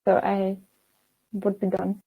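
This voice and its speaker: A woman introducing herself on an online panel, talking quickly with a few restarts. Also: mid-range voice, completely flat delivery, creaky, husky. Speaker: panel guest. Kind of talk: self-introduction on a video call. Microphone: video call. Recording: dull lo-fi call audio.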